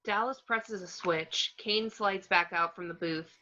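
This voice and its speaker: A woman's voice: fairly low pitch, a little slow, bright, forceful tone, highs cut off. A woman reading screenplay stage directions aloud as scripted narration.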